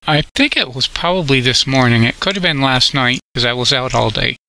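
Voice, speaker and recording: A man's voice: medium pitch, expressive, fairly quick, sharp tone, rough recording. A man prank-calling a scammer, acting puzzled and plaintive. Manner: feigned confusion, plaintive. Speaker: scambaiter. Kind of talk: prank call.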